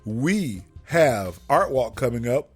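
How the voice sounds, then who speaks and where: A talkative male co-host with a lively, swinging pitch, chatting with his partner on a radio show. He lectures in easy asides while faint music plays behind. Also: slow pace, husky. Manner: talkative, animated, preachy asides. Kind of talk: casual couple chat.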